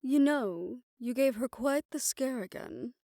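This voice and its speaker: A woman playing a calm, wry, curious-minded friend in an audio drama, giving caution in a measured, gentle way. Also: high voice, no echo, clean studio sound. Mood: gentle warning. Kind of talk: scripted audio roleplay drama acting.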